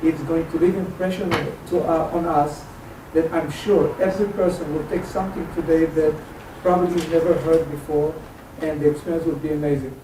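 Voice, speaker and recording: Distant, echoey man with a flat delivery. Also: fairly high pitch, brisk. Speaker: event host introducing a speaker. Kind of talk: halting, semi-formal introduction. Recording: echoey, distant, noisy room.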